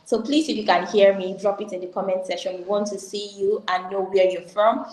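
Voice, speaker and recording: A woman's voice: medium pitch, steady tone, fairly quick, a little mellow. A Nigerian woman hosting a live online info session, welcoming viewers and reading out their comments. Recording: roomy echo.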